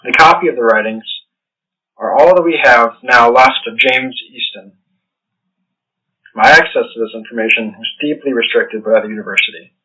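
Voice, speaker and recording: A man's voice: mid-range voice, pushed and bright, husky, poor audio. A man playing an uneasy substitute professor, giving a scripted lecture for a horror fiction podcast. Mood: instructive. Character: uneasy male substitute professor at an occult university.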